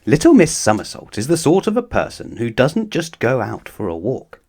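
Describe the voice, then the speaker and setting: Animated male children's story narrator. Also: mid-range voice, moderate pace, husky. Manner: expressive, addressing young listeners.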